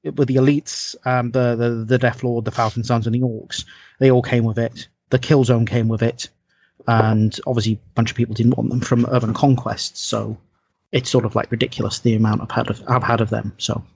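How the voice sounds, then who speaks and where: A man co-hosting a podcast in casual hobby chat, speaking in a halting, rambling way and repeating his phrases. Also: medium pitch, little pitch movement, creaky, darkish tone, husky. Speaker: podcast co-host.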